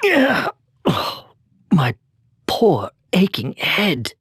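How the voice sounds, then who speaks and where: An actor playing a thoughtful young man in a video game, hesitant and deliberate, full of doubt. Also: sits high, wildly swooping pitch, fairly bright tone, breathy edge, no echo, studio quality, heavily acted. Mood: groggy.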